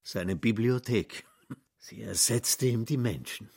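A man's voice: lowish voice, takes it slowly, vocal fry, a little mellow, slightly rough, dry recording, studio quality, full character voice. An actor playing a disdainful, coolly mocking male commentator in a radio play. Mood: dry.

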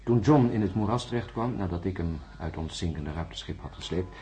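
An actor playing a shaken male astronaut in a radio play, telling his story in a hesitant, halting way. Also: sits low, dark tone, lightly acted. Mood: recounting. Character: shaken male astronaut recounting a jungle ordeal.

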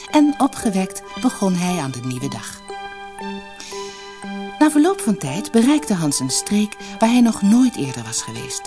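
A woman narrates a scripted fairy tale with dramatic expression. Her voice sits fairly low and moves in animated swings, with faint music around it. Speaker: audiobook narrator.

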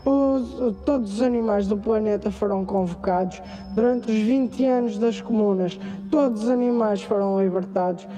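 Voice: medium pitch, mellow, natural voice, rough recording. A performer with a European Portuguese accent playing a solemn narrator of a dystopian future in a radio drama.

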